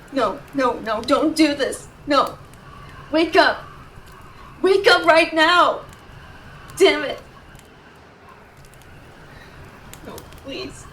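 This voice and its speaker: A woman's voice: sits high, a bit bright, recorded from a distance, a little hiss or hum, rough recording. A woman playing a fiercely protective, tender tsundere girlfriend in an intimate, emotional roleplay audio, blunt in her affection. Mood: panicked, pleading. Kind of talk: dramatic roleplay audio, intimate second-person acting.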